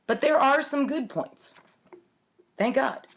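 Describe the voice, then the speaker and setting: A woman's voice: high-pitched, slow. A woman around forty reading aloud at home with wry, theatrical delivery and casual self-mocking asides. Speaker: host reading her own childhood writing. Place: home kitchen, indoors. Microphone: webcam or laptop at arm's length.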